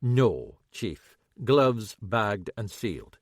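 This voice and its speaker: A man voicing a steady middle-aged Scottish police sergeant with a plain, dutiful, matter-of-fact manner and a Scottish accent. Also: lowish voice, very slow, no room sound, studio quality, full character voice.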